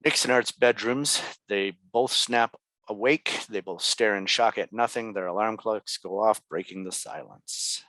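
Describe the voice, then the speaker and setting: A man narrates stage directions from a script. His voice is on the low side. The sound is dry, with little room in it. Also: measured pace, bright, forceful tone, dry recording.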